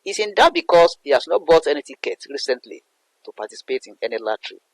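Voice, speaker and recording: A man's voice: a little high, very animated, quick pace, no echo, rough recording. A man explaining a poem as a literature teacher, in a didactic way that repeats and rephrases points. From Nigeria. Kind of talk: explanatory poetry analysis lesson.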